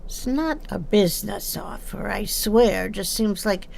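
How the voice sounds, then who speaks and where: An older woman acting in an audio drama as a chatty, nosy seamstress, wistful and teasing, with a rambling, halting way of talking. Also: medium pitch, creaky, a little mellow. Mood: pushy, kindly.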